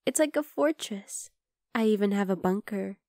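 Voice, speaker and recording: A woman playing a possessive, bloodthirsty vampire girl in an ASMR roleplay, talking intimately to the listener and slipping into breathy whispers. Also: mid-range voice, animated, moderate pace, dark tone, no room sound, clean studio sound, natural voice. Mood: coaxing, controlling.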